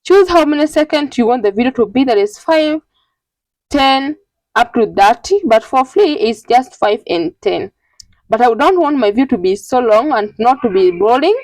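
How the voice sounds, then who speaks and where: A woman with a fairly high voice hosts an informal tutorial, walking through each step. She speaks in a halting, repetitive, instructional way, and the sound is dry and close. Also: expressive, a little mellow, dry recording, poor audio. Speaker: tutorial host.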